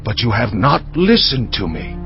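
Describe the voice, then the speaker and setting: Stern, declaiming prophet, muffled recording. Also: male voice, lowish voice, big pitch swings, normal speed, creaky. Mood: stern, reproachful. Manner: declamatory, emphatic. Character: stern prophet proclaiming God's reproach. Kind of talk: dramatized scripture audio. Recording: dull lo-fi audio, music behind. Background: music.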